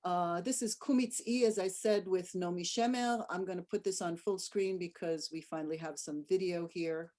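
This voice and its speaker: A woman's voice: fairly low pitch, fairly even intonation, moderate pace, hardly any pauses, dark tone. A woman, a music director, giving a casual online lecture about a singer, talking informally with fillers and restarts as she presents clips. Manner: chatty, with frequent fillers and restarts.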